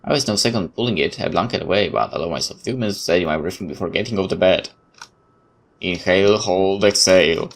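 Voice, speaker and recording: A man reading horror game text aloud as a video host, theatrical and expressive, with informal reactions. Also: low-pitched, fast talker. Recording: noticeable background noise.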